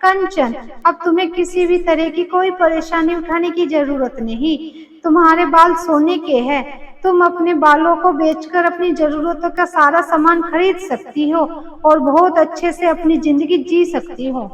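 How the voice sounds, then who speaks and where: A young cartoon fairy-tale heroine voiced by a woman speaks extremely high, with theatrical exclamations. The sound is distant from the mic and full of echo. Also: steady tone, unhurried. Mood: kindly. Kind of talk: Hindi cartoon story voice acting. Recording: echoey, distant-sounding voice track.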